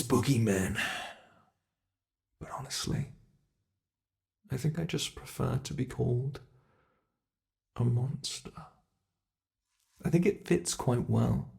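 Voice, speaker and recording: A gleeful, menacing old monster from under the bed, acted by a man. The voice has a gravelly creak and moves very slowly, slipping toward whispers. It taunts and gloats in the teasing style of an ASMR horror roleplay. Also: medium pitch. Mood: musing, proud. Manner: slow, teasing, whispery taunting with drawn-out words.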